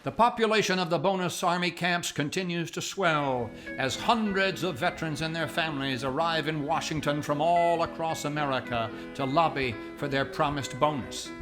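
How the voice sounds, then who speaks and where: Radio drama narrator, male, lightly high-pitched, measured and formal, with clear string music underneath. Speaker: radio play announcer and narrator. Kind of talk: scripted narration in old-time radio manner. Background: plucked guitar music.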